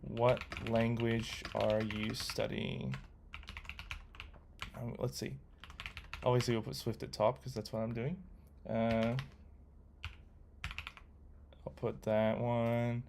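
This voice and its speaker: Young man, laid-back livestream chatter, rambling with fillers, mid-pitched voice, keyboard typing behind. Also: takes it slowly, gravelly, long pauses, noisy background. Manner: relaxed, rambling, reads chat aloud with many fillers. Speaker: livestreamer learning to code. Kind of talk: casual livestream chat while coding.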